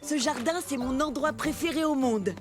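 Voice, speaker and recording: A woman playing a cheeky, friendly young boy in a French animated children's series, expressive and childlike. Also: high-pitched, normal speed, music in the background, close to an everyday voice. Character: friendly, spirited prehistoric boy, a big eater.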